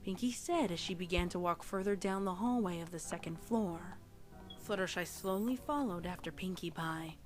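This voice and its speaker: A woman's voice: on the low side, normal speed. A woman reading scripted fan fiction narration, with music playing behind her.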